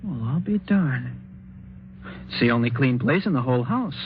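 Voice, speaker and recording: Actor as earnest young husband, hissy old radio. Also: male voice, mid-range voice, expressive, normal speed, natural voice. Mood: easygoing. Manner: plainspoken, hesitant, earnest. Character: practical, protective, concerned young husband. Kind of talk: 1950s radio drama acting. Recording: muffled, noisy old radio recording.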